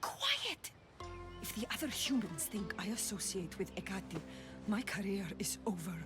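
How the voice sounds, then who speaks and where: A woman's voice: mid-range voice, very animated, normal speed, over music, natural voice. A woman playing an anxious, secretive village mother in a video game, speaking formally and urgently. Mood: alarmed, hushed. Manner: dramatic, urgent, formal wording. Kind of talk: video game cutscene acting.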